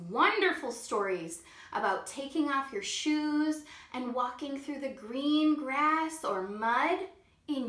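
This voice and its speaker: Woman, kindergarten teacher, reading aloud to kids; gentle, slightly high voice, echoey room. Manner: gentle storytime reading, pausing to ask children questions. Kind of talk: reading a picture book aloud to children.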